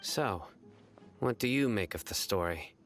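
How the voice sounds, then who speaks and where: A man playing a stern, vigilant investigator in an anime game dub, speaking seriously, formally and deliberately. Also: fairly low pitch, animated, fairly bright tone, soft background music. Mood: skeptical, measured. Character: stern, vigilant young male investigator, cool and measured.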